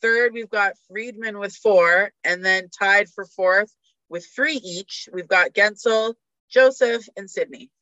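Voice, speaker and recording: Woman, podcast guest, blunt, laughs a lot, bright voice, lo-fi dry sound. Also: medium pitch, slow, no echo. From Canada. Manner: animated, laughs often, blunt opinions. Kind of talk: casual sports chat.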